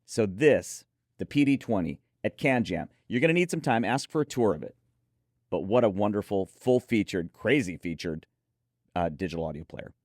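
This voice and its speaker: A man with a fairly low voice gives his take on audio gear as a reviewer and co-host. He sounds enthusiastic and opinionated in casual conversation, in a dry recording with very little room sound. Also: lively intonation, moderate pace, darkish tone, dry recording.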